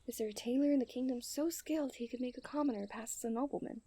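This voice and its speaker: A young woman playing a coy, refined and tender princess in a romantic ASMR roleplay, speaking in playful storybook diction that often softens to a near-whisper. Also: fairly high pitch, fast, close to an everyday voice. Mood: playful, knowing. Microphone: close studio-style microphone.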